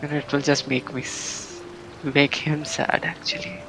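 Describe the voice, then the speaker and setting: A man giving casual live gameplay commentary, halting and musing aloud as he streams. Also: fairly high pitch, little pitch movement, gravelly, poor audio. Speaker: game streamer. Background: game music.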